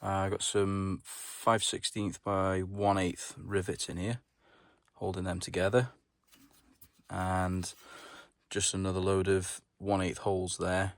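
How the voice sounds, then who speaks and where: A man with a low-pitched voice presents a hands-on DIY job. He talks informally, thinking aloud as he goes, in the casual style of a home mechanic explaining each step. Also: monotone, slow pace, creaky, no echo, clean studio sound. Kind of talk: casual hands-on how-to narration.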